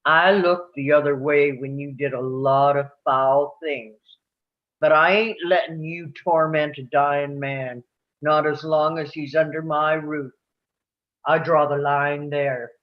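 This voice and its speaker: A man's voice: fairly high pitch, steady tone, slow pace. A man reading a stubborn, principled Old West rancher in a live table read, on a dull lo-fi microphone. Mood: firm, defiant.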